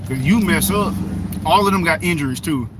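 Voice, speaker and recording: A man in his thirties is hosting a live stream outdoors on his phone, and his voice sits a little high. He talks emphatically, repeats phrases for stress and reads the chat aloud. Heavy outdoor noise fills the background, and the phone is held some distance away. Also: moderate pace. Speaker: livestream host. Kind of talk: casual live-stream talk, reading chat.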